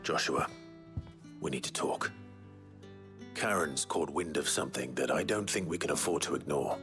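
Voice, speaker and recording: A resolute fantasy game hero with an exceptionally deep voice. He speaks tersely and seriously, like a direct leader. Light music runs behind. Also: moderate pace. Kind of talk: dramatic video game acting.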